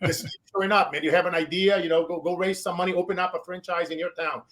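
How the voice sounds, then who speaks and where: A man in his forties with a Brazilian accent, hosting a show and giving emphatic motivational shout-outs. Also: sits high, steady tone, fast. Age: 40s. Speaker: show host. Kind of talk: motivational interview wrap-up.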